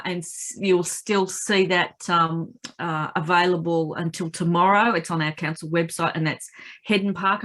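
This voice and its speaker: Croaky, lower-voiced Australian woman. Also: a bit bright. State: croaky with a cold. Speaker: local councillor. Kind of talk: informal spoken update at a community video meeting. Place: video call. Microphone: video call.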